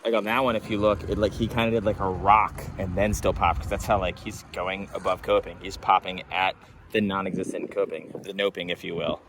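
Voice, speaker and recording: A man's voice: deep voice, fairly even intonation, brisk, background noise. A man vlogging a skate session outdoors, rambling in a casual, self-mocking way and swearing freely. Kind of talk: casual commentary. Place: outdoors at a street skate spot.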